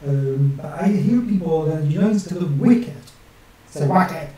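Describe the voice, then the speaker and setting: Man with a non-native english accent, mellow voice, chatty asides, mic set back in a very echoey room. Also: fairly high pitch, expressive, measured pace. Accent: non-native English with some northern English influence. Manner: chatty, talks to himself, jokey asides. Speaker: map illustrator and channel host. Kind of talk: rambling chat while drawing on screen. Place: small home room at a desk with a webcam. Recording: very echoey room, distant mic, faint hiss.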